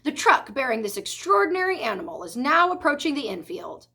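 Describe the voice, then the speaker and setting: A woman voicing a pompous, formal fairground loudspeaker announcer from a storybook, declaiming grandly. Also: sits high, big pitch swings, brisk, breathy edge, heavily acted.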